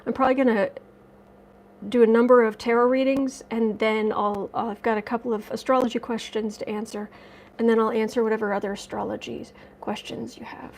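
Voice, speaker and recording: A woman hosting a casual livestream, chatty and rambling as she reads viewer comments, her voice slightly raspy from dust allergies. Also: fairly high pitch, steady tone, a little fast, darkish tone, a little hiss or hum, rough recording. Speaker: tarot and astrology livestream host.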